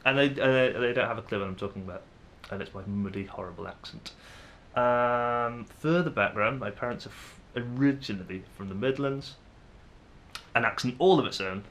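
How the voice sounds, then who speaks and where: A man's voice: mid-range voice, moderate pace, a bit bright. A man in his twenties from the Manchester area of northern England, with a northern English accent, talking in a rambling, self-deprecating way to camera with many restarts. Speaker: vlogger. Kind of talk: casual monologue to camera.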